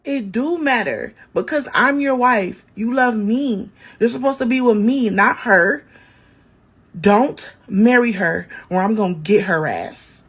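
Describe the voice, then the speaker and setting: A woman's voice: on the high side, unhurried, breathy edge. A woman voicing a pleading, bossy jilted wife with an emphatic, confrontational, colloquial delivery in a dramatic story. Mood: desperate, demanding.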